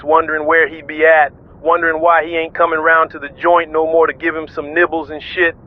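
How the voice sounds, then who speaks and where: A man's voice: on the high side, close to an everyday voice. A man playing a swaggering, sentimental pirate radio DJ who talks in melodramatic street slang. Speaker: radio DJ host. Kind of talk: slangy improvised-sounding radio monologue. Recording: phone-like radio band, music behind. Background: music and vehicle noise.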